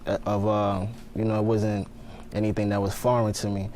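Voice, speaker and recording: A young man from Ghana talks about his clothing line in a TV interview. His voice sits low and stays flat in pitch, relaxed, with plenty of fillers. A faint hiss runs underneath. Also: moderate pace. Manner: laid-back, many fillers and "you know what I mean". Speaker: guest, fashion designer. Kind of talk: casual TV interview.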